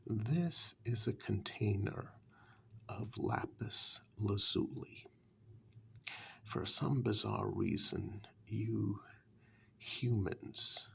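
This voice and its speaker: A man playing a sly, condescending warlock who bargains coolly in a dark fairytale ASMR role-play, speaking softly and breathily with slow, dismissive deliberation. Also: on the low side, a little hiss or hum, full character voice. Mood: scornful, sly. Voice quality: breathy half-whisper, gravelly.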